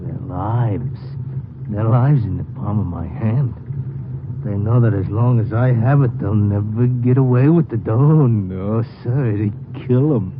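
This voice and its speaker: An American actor in a 1950s radio drama playing an old, paranoid, half-crazed ex-gangster who rambles and pleads in rough slang. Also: male voice, on the low side, measured pace, phone-quality sound, natural voice. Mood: muttering, obsessed. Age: older. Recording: noisy, band-limited old 1950s radio recording.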